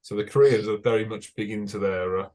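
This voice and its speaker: A man's voice: on the low side, fairly even intonation, a little fast, dark tone. A young British man giving an informal technical talk to a radio club as a broadcast engineer, chatty and self-correcting with many fillers. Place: club meeting room.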